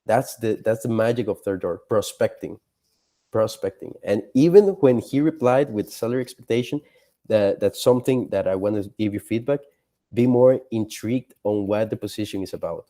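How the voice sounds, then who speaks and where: Mellow, low-voiced man coaching a group. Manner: informal, encouraging, peppers talk with rhetorical questions. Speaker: career coach leading a group call. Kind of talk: casual motivational coaching on a group call. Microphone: video call.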